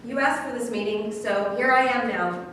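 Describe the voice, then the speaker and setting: A woman's voice: on the high side, normal speed, fairly bright tone, lightly acted. A woman in a staged play reading, playing an angry, wounded widow with an indignant, accusing manner. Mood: angry, hurt. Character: angry, wounded widow confronting husband's killer. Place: echoey room at a staged reading, far microphone. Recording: very echoey room, distant mic, faint noise.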